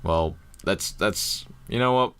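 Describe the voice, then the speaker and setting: Rambling gaming commentator. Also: male voice, low voice, slow pace, a bit bright, clean tone. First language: Dutch. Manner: rambling, jokey, swears casually; nervous first-video energy. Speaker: gaming YouTuber. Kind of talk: casual game commentary.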